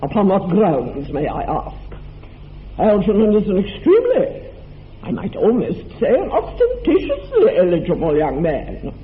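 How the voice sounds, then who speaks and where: A haughty older aristocratic woman , played by a man, in an old radio play, pitched high and swooping wildly from note to note. The delivery is grand and drawn out, and the sound is thin and phone-narrow with a faint hiss behind it. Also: wildly swooping pitch, a little slow, exaggerated voice. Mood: lofty.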